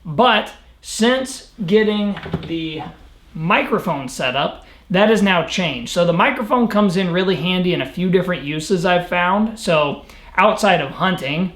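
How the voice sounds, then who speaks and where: A man with a fairly high voice talks through an outdoor gear review in a casual, explanatory way. He sounds conversational and matter-of-fact. The recording is clearly roomy, with echo around his words. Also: fairly bright tone. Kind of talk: casual product review to camera.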